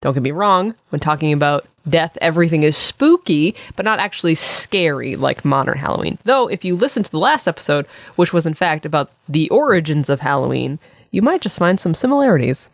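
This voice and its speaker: A woman's voice: sits low, sing-song, fairly quick, dry recording. A woman co-hosting a comedy podcast, explaining and bantering in a wry, irreverent way. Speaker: podcast co-host.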